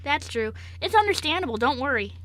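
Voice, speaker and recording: Woman playing a high, eager young dog servant. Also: brisk, rough recording. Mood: gentle, sincere. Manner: excitable, wide-eyed questioning. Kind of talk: amateur radio play acting.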